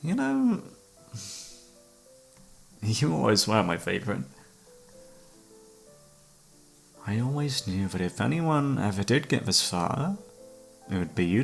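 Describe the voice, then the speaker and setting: A man's voice: mid-range voice, gravelly, heavily acted. A man acting an obsessive, flirtatious serial killer who holds a detective captive, speaking with teasing mock courtesy in an ASMR roleplay. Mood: amused, gloating. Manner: teasing, mock-polite, theatrical, savouring words. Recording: background music under the voice.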